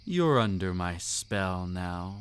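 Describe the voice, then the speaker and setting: Man playing a slow-talking, seductive vampire count. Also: low-pitched, animated, clean tone. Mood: commanding, teasing. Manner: slow, suave, teasing, theatrically formal and possessive. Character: seductive, commanding vampire count, ancient but youthful-looking man. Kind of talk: intimate roleplay audio drama, scripted monologue.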